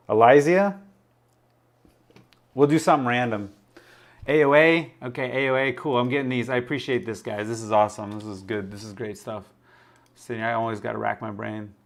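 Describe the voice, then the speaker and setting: A man casually hosting a livestream, rambling, laughing often and reading out viewer chat, his voice a little raspy. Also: mid-range voice, steady tone, fairly quick, long pauses. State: slightly raspy voice. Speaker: livestream host.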